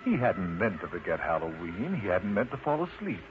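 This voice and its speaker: A man narrating a children's story in an expressive, unhurried manner, addressing the listener directly. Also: low voice. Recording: muffled lo-fi recording with loud noise.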